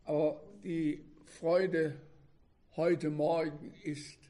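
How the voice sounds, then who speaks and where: Old guy in his 80s preaching, real slow n deliberate, mellow voice, lots of pauses. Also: on the high side, flat delivery. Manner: measured, deliberate, halting phrases with pauses. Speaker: preacher, former religious radio broadcaster. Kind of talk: sermon opening with personal reminiscences to a congregation.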